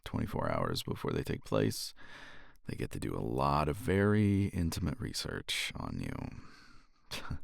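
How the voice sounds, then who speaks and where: Very deep, gravelly, cocky flirt acted by a man. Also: wildly swooping pitch, no echo, studio quality, exaggerated voice. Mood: wry, curious. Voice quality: gravelly, husky, breathy. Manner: teasing, unhurried, murmuring with sighs and pauses. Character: cocky, flirtatious male competitor teasing a rival. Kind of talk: intimate romance ASMR roleplay. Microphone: close microphone.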